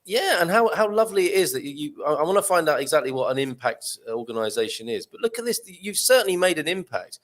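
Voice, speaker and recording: A man's voice: on the high side, very animated, fast, few pauses, fairly bright tone. A man hosting a casual interview, enthusiastic and full of praise, often repeating words as he goes. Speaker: host.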